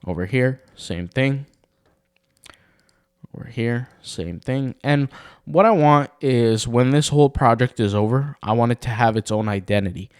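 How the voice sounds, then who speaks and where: A man talks through a screen-recorded website tutorial in a casual, meandering way, full of restarts and fillers. His voice is a touch raspy and sits at an ordinary middle pitch. The recording is dry and close, with no room sound. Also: dry recording. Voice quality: slightly raspy. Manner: rambling, lots of fillers and restarts. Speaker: blogging tutor.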